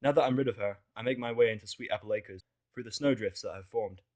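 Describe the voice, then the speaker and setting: A man's voice: on the low side, fast, a little mellow. A man reading a scripted first-person fan fiction story as its narrator.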